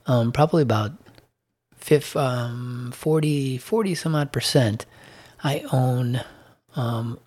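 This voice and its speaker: A man with a slightly hoarse voice talks through his investment holdings in a relaxed, unscripted way. He stops and restarts often, filling gaps with ums while reading out percentages. His voice sits at an ordinary middle pitch for a man. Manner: halting, many ums and restarts, reads figures aloud. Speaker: dividend investing vlogger. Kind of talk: casual monthly portfolio recap.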